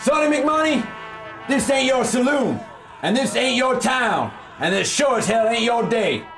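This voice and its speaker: An actor playing a gruff, domineering Texas sheriff in an Old West audio drama, with a folksy, swearing manner. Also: male voice, very high-pitched, lively intonation, measured pace, fairly bright tone, raised voice, faint music behind, full character voice. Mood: loud, confrontational. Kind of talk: western audio drama acting.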